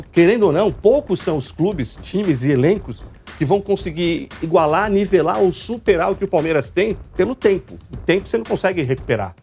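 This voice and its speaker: A man's voice: fairly high pitch, a little mellow. A man with a São Paulo state Brazilian accent hosting a live football talk show in a chatty, colloquial, teasing way. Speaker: host. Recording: music under the voice. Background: background music.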